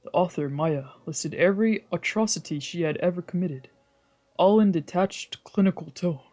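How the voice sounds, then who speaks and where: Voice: medium pitch, moderate pace, a little mellow. A streamer reading a visual novel aloud as dramatic narration, with casual asides and the occasional stumble. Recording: music behind the voice. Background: background music.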